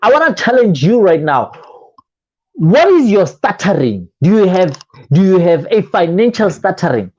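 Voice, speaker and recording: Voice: medium pitch, very animated, normal speed, darkish tone, dull audio. A motivational coach giving a talk full of rhetorical questions and repeated phrases, often restarting words.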